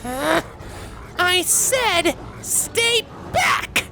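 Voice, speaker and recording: High, forceful young pony heroine acted by a woman. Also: sing-song, extremely slow, vocal fry, exaggerated voice. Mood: commanding. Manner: authoritative, forceful. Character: commanding young female alicorn pony, fierce and urgent. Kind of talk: acted fan fiction audio story.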